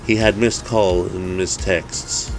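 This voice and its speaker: A man's voice: sits low, fairly even intonation, slow, soft background music, rough recording. A man narrating a story in a dramatic storytelling style.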